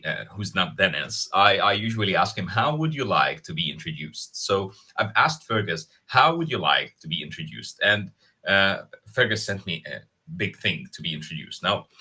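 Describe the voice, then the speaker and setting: A man hosting a casual interview, speaking haltingly and often repeating words. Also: low-pitched, bright, forceful tone, slightly rough. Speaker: interview show host.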